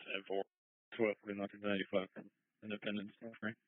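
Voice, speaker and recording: A man's voice: lowish voice, little pitch movement, brisk, no room sound. A man speaking terse emergency dispatch traffic over a two-way radio. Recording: phone-like, dry police scanner radio.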